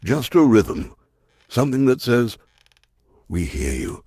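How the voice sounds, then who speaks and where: A man playing an earnest, resolute science professor in a sci-fi audio drama, with a husky voice and deliberate delivery. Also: medium pitch, very animated, warm tone, no echo, exaggerated voice. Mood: intent, determined.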